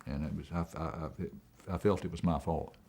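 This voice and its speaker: A middle-aged man, an airline pilot, recounting his experience in an interview in a measured, matter-of-fact way. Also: a bass voice, steady tone, creaky, darkish tone.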